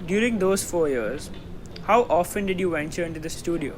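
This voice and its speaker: A young man acting in a radio drama as a forceful, skeptical lawyer cross-examining a witness. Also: fairly high pitch, expressive, moderate pace, background noise, natural voice. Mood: incredulous, pressing. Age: teenager.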